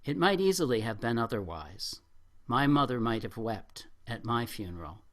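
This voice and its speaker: Man reading aloud with expressive pitch swings. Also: moderate pace. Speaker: poet at an open mic. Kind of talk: spoken poetry reading with informal remarks to an audience. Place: bar open mic.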